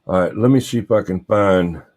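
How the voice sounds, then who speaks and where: A man's voice: fairly low pitch, normal speed, a little mellow. A man hosting a casual, rambling livestream, muttering to himself in a folksy way as he talks. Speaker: livestream host showing vintage radios.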